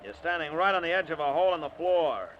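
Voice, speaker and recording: A man's voice: a little high, expressive, fast talker, lightly acted. A man playing a gloating, taunting murderer in an old-time radio thriller, with theatrical delivery. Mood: gloating menace. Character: gloating male murderer posing as a ghost. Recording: dull, noisy vintage radio recording.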